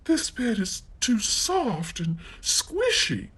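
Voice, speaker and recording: Fussy, grumbling little girl from a storybook, voiced by a narrator; high and lilting, slow. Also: wildly swooping pitch, fairly bright tone, husky, heavily acted. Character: golden-haired young girl, cross and fussy.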